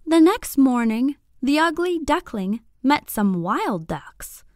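A woman narrating a scripted bedtime story for young children in an expressive, deliberate, clearly phrased way. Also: high voice, dry recording, clean studio sound.

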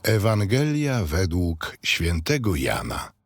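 A man's voice: low voice, wildly swooping pitch, slow, noisy background. A man solemnly announcing the titles of readings. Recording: music behind the voice.

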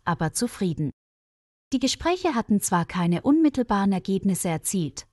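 A woman's voice: on the low side, darkish tone, no echo. Woman narrator, very dry close sound. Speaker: narrator of graded German stories for learners. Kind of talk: scripted narration, read-aloud story.

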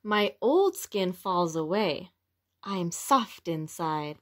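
A woman voicing a cheerful young caterpillar that becomes a butterfly in a picture book, speaking expressively for young children. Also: fairly low pitch. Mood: slow, wondering. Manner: slow, expressive, simple exclamations for young children.

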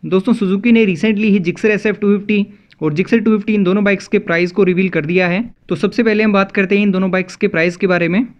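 A man's voice: sits high, steady tone, fast. An Indian man narrating a scripted video as a motovlog host, addressing viewers as friends in a dull, slightly lo-fi voice-over.

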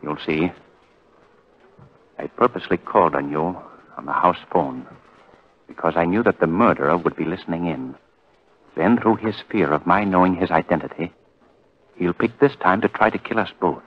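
An actor playing a calm, authoritative, urgent amateur sleuth in a 1940s radio drama. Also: male voice, deep voice, normal speed, long pauses, husky. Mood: hushed urgency. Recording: muffled 1940s radio broadcast.

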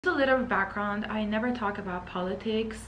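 A woman's voice: mid-range voice, a little fast, a bit bright, highs cut off. A woman in her thirties who grew up in Iran, speaking to camera as a lifestyle vlogger in an earnest, hesitant, emotional way. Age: 30s. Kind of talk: earnest personal address to camera. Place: indoors, living and dining room at home. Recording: roomy echo, faint background noise.

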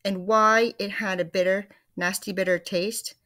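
A woman voicing a nasty, gleeful old woman in a children's storybook, taunting in a louder, higher voice. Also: steady tone, unhurried.

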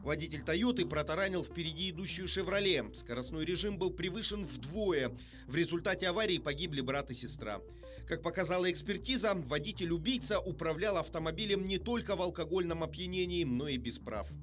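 A man narrates a television news report in a fairly high voice. His delivery is scripted and polished, with a wry, ironic edge. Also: expressive, brisk, a bit bright, faint music behind.

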